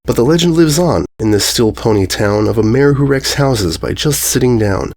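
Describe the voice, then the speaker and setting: A man narrating a rhyming children's story in a measured, singsong cadence. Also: medium pitch, animated, darkish tone. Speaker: story reader.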